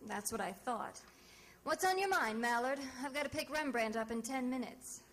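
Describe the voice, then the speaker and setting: A woman playing a sassy, quick-witted private detective in 1940s radio drama style, narrating with wry, breezy wisecracks. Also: fairly high pitch, expressive, a bit bright. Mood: dry banter. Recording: noisy old radio recording.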